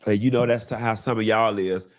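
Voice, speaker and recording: A congested man hosting a live gossip show, talking in a sassy, campy drawl, calling listeners honey and baby and swooping up high for emphasis. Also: steady tone, moderate pace. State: congested from a cold. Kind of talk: casual campy gossip monologue.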